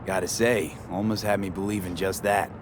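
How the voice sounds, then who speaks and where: Low, dry-witted mercenary man played by an actor. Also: little pitch movement, normal speed, lightly acted. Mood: amused. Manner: dry, clipped, wry. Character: laconic, dryly joking male mercenary. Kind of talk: video game dialogue acting. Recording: vehicle and outdoor noise behind.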